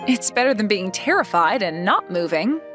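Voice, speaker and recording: A woman's voice: mid-range voice, sing-song, moderate pace, music in the background, natural voice. A young woman playing a reserved yet playful schoolgirl in a manga dub, coy and matter-of-fact with a touch of indignation. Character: reserved yet playful, easily flustered schoolgirl love interest.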